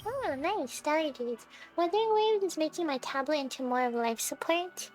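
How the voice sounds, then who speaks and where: A woman's voice: high voice, lively intonation, normal speed, darkish tone. A woman livestreamer chatting casually to viewers as she plays a game, thinking aloud and often asking questions. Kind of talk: casual chat while playing a game for viewers. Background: video game music.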